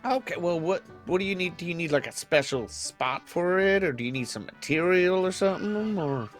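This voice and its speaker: A man's voice: sits high, expressive, normal speed, soft background music. A man playing a bookish, fussy wasteland hermit in an improvised game roleplay, hesitant and folksy, rambling and repeating himself. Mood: helpful, wary.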